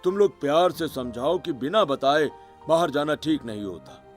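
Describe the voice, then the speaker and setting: A man voicing an elderly, worried father-in-law in a cartoon story, speaking in a halting, grave way. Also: a little high, animated, faint music behind, natural voice. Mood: gentle concern. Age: older.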